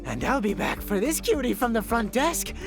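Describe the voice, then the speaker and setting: Gravelly, theatrical masked killer. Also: male voice, extremely high pitch, wildly swooping pitch, normal speed, over loud music, heavily acted. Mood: gloating. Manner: menacing, theatrical, cursing. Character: masked sadistic male serial killer, foul-mouthed and cowardly. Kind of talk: audio drama acting.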